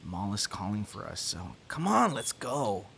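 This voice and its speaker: A man's voice: on the low side, huge pitch swings, a little slow, gravelly, a little hiss or hum, full character voice. A man playing an easygoing, teasing young guy in an ASMR boyfriend roleplay, talking casually and straight to the listener. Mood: reassuring, playful. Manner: casual, teasing, soft chuckles and sighs.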